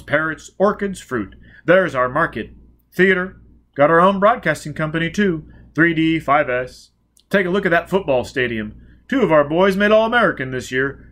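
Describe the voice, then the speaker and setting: A man's voice: on the high side, fairly bright tone, pitched above the performer's own voice. A man voicing a boastful, overeager small-town mayor in an audiobook, with clipped, exclamatory sales patter.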